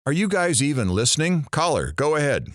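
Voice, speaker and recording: An actor playing a sardonic morning radio host in an audio drama, with dry, theatrical sarcasm. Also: male voice, on the high side, big pitch swings, brisk, no room sound. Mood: wry, brisk. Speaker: radio talk show host.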